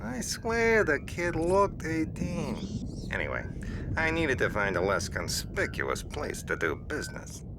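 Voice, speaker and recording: Gravelly, shady arms dealer. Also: male voice, sing-song, unhurried. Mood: sly. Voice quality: gravelly, creaky. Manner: folksy, sly salesman. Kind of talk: cartoon video game acting. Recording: noisy, with low rumble behind.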